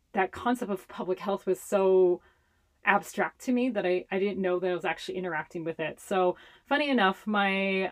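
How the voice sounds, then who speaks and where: A young adult woman from Hawaii with a Hawaiian accent, whose first language is Hawaiian Pidgin English, chatting casually as an interview guest and laughing often. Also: medium pitch. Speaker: public health communicator, guest.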